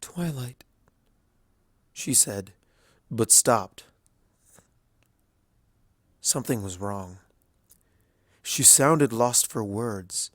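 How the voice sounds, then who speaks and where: A man reading a dramatic story as narrator in a solemn, hushed, deliberate way. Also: on the low side, no echo, studio quality. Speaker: fan fiction narrator.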